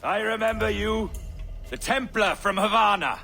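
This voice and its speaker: A man's voice: high-pitched, moderate pace, vocal fry, bright, forceful tone, faint music behind, full character voice. An actor voicing a wary, bitter henchman, distrustful and sardonic, in a video game cutscene.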